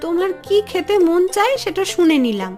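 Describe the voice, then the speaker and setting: A woman voicing a practical, deferential village housemaid in a Bengali cartoon, soft-spoken and matter-of-fact. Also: extremely high pitch, big pitch swings, a little fast. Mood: helpful. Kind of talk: Bengali fairy-tale cartoon acting. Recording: music under the dialogue.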